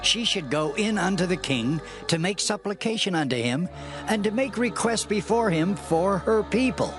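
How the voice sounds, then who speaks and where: A man playing a grave, urgent man who admonishes solemnly and deliberately in a dramatized scripture reading. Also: high-pitched, expressive, over music. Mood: solemn urging.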